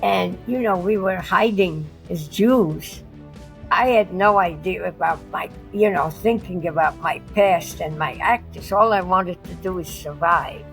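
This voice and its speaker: A woman, slowly and haltingly recalling her life as an interview guest. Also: lowish voice, gravelly, soft background music, rough recording. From Poland. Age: 90s. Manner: slow, halting, with fillers like "you know". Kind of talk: casual reminiscing interview.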